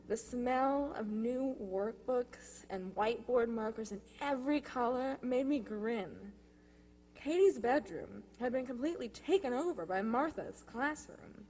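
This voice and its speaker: High-voiced young woman giving a testimonial. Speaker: youth speaker at a church service. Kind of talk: prepared personal testimonial speech. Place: church, speaking to a congregation. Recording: live speech with faint noise and hum.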